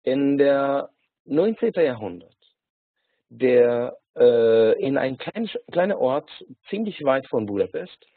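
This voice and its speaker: A man from Hungary speaking with a Hungarian accent, teaching an online lesson on a video call, halting with many filler sounds and restarts. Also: mid-range voice, animated, no echo. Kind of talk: online lecture. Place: small indoor room. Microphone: webcam or laptop mic on a video call. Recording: tinny phone-like video call.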